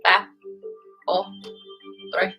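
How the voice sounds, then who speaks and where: Playful woman leading qigong over music. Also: extremely slow. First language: Hebrew. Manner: playful and chatty, counts aloud, drops into whispers. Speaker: qigong teacher. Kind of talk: guided qigong class, live online. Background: background music.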